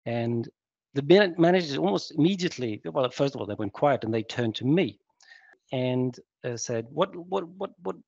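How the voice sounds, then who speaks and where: Man from Sweden, gravelly voice, dry dull call audio, hesitant speaker. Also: mid-range voice, lively intonation, moderate pace, darkish tone, no room sound. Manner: hesitant, reflective. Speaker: guest speaker. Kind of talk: webinar talk. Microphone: video call.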